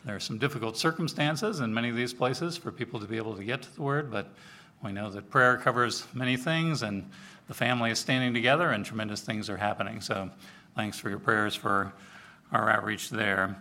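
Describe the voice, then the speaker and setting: A man's voice: lowish voice, brisk. A man preaching to a congregation in an informal, conversational way, telling personal stories and acting out the dialogue. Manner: conversational, animated storyteller, acts out dialogue. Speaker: preacher, overseer of church outreach. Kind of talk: sermon with informal storytelling to a congregation.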